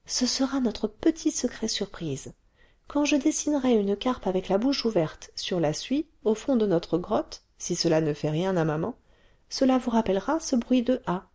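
A woman voicing an excited, curious little cave girl who pleads and chatters. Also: a little high, moderate pace, husky, voice pushed up. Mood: chatty.

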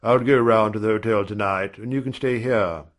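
Audiobook role: male reader as awkward gentleman, lowish voice, evasive, hesitant, easily piqued. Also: steady tone, normal speed, close to an everyday voice. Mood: vague, neutral. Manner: vague, neutral, hesitant. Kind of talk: audiobook character dialogue.

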